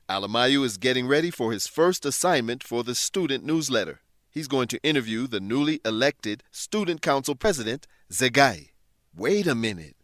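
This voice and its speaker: A man narrating an educational radio drama from a script in an animated storytelling manner. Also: mid-range voice, dry recording, clean studio sound.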